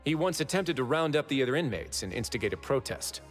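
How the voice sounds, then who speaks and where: An actor playing a shrewd, composed prison duke in an anime video game, sardonic, unhurried and self-assured. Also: male voice, medium pitch, sing-song, soft background music, natural voice. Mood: musing, probing.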